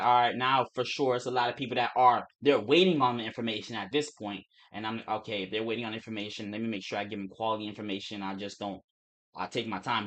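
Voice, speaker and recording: A young man from Turks and Caicos with a mixed Caribbean and American accent, talking fast and casually on a trading livestream, with restarts and swearing. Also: medium pitch, fairly bright tone. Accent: Turks and Caicos Creole-English mix.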